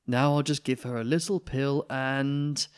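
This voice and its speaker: A man's voice: medium pitch, fairly even intonation, unhurried, clean tone, pitched above the performer's own voice, lightly acted. A man playing a flustered male vet in a children's storybook, given to exclaiming. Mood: reassuring.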